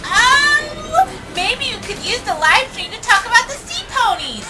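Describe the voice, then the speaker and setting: A woman voicing an excitable, quirky young female pony, telling things theatrically with drawn-out, emphatic words. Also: very high-pitched, music in the background, heavily acted. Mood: cheerful. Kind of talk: cartoon voice acting in a comic read-along. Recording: clearly noisy background.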